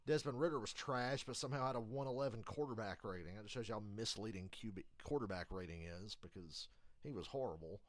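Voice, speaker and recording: A man's voice: on the low side, fast, no room sound. A man streaming a live football reaction, blunt and sarcastic, saying he is starting to lose his voice. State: losing his voice. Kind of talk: casual live reaction chat.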